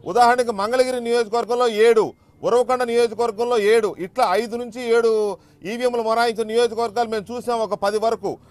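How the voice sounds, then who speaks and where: Man, news anchor, Telugu accent, brisk probing questions, very high voice, dry studio. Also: fairly even intonation, no echo. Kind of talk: live news interview questions.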